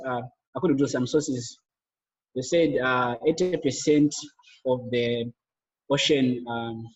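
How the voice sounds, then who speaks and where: A young man presents his project in a webinar, pausing often with filler sounds and restarting phrases. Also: medium pitch, a little mellow. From Zimbabwe. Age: young adult. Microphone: video call. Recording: echoey, dull lo-fi webinar audio.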